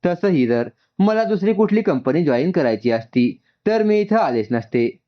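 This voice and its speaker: A man voicing an earnest young woman designer who pleads with her boss, his pitch lifting a little. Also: very animated, normal speed, dull audio. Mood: pleading.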